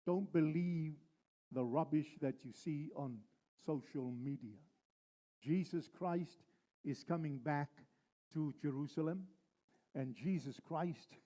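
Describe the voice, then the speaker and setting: A male pastor preaching a sermon, driving his points home with slow, repeated phrases. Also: on the high side, mellow, dull audio.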